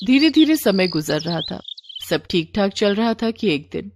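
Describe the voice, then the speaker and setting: A woman's voice: mid-range voice, very animated, normal speed, slight background noise. A woman narrating a scripted moral story as the storyteller.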